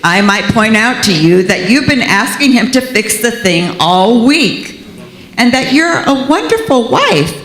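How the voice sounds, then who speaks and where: A woman acting an exasperated neighbor and peacemaker in a live comedic dramatic reading, deliberate and matter-of-fact. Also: a little high, sing-song, fairly bright tone, a little hiss or hum. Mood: exasperated, relaying. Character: exasperated, peacemaking neighbor and longtime best friend of wife. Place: church sanctuary, live performance. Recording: echoey live room.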